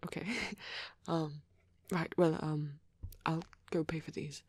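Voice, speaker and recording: A woman playing a shy, nervous vampire boy in a soft-spoken ASMR roleplay, with a hesitant, rambling, stammering delivery and a husky voice. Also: low voice, steady tone, slow pace, dry recording, full character voice. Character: shy, nervous young male vampire on a date.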